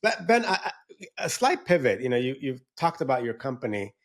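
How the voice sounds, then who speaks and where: A man's voice: mid-range voice, very animated, a little fast. A man co-hosting a casual podcast, steering the talk with easygoing questions.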